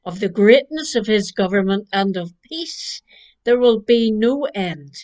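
An older woman minister from Northern Ireland giving a gentle Christmas Eve reflection from her living room, speaking into a webcam. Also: mid-range voice, animated. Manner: slow, gentle preaching cadence. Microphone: webcam at desk distance.

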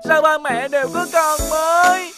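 A woman's voice: sits high, fairly even intonation, measured pace, over loud music. A woman voicing a sulky, whiny young boy. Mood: boyish. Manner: whiny, pleading.

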